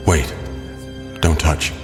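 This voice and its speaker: An actor playing a stern, commanding masked vigilante in an audio drama, speaking tersely. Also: male voice, extremely slow, roomy sound, long reverb tail, music in the background. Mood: urgent.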